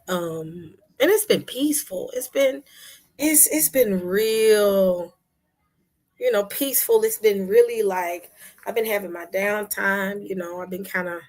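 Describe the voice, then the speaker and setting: A woman hosting a casual livestream chat, talking to her viewers with soft whispery asides and sudden loud bursts. Her voice is husky, as if she is losing it. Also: mid-range voice. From Memphis, United States. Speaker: livestream host.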